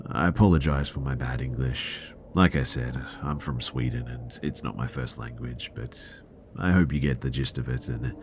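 Male horror-story narrator, exceptionally deep pitch, measured and suspenseful delivery, faint ambient noise underneath. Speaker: narrator of listener horror stories. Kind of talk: scary first-person storytelling.

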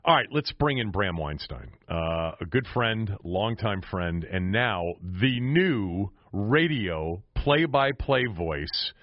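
A man's voice: lowish voice, expressive. An American man hosting a sports podcast in a conversational way, often repeating words as he goes. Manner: halting, repeats words while forming questions. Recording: slightly dull, roomy sound.

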